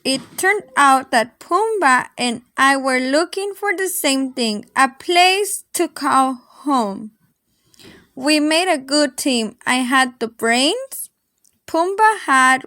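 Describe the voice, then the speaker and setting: A woman reading a children's story aloud in an expressive, dramatic storytelling manner. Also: high-pitched, no echo, studio quality. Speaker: storybook reader.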